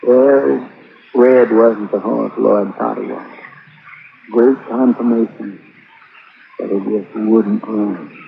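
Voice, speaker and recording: Gravelly, weary older country doctor. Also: male voice, fairly low pitch, steady tone, slow pace, long pauses. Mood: resigned, confessing. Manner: hesitant, guarded. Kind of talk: 1950s radio drama acting. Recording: noisy old radio recording, narrow band.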